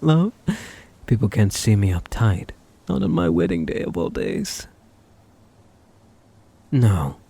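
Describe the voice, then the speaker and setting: Breathy, obsessive yandere kidnapper played by a man. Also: mid-range voice, warm tone, no echo, full character voice. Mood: unhinged anxiety. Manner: cloying endearments, mock-sweet taunting, swinging into giddy mania. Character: possessive, obsessive male yandere kidnapper, gleefully menacing groom-to-be. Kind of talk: ASMR roleplay, intimate dramatic monologue.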